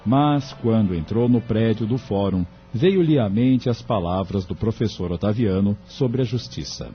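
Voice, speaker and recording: A man narrates an audio drama from a script in a measured storyteller's way. His pitch is fairly low. Music sits behind the slightly dull recording.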